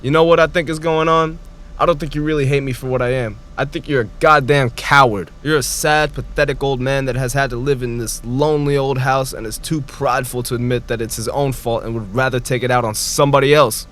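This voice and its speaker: Man playing a fast, blunt young fiancé. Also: medium pitch, steady tone, fairly bright tone, lightly acted. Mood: defiant. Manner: blunt, confrontational. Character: defiant young Saudi American fiancé, frank, fed up. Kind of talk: audio drama acting.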